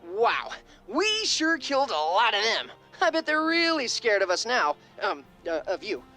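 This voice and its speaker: A man's voice: sits high, wildly swooping pitch, unhurried, sharp tone, faint music behind, heavily acted. A man voicing a naive, eager young soldier in a video game, jumpy and hesitant. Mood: eager, nervous. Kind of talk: video game cutscene acting.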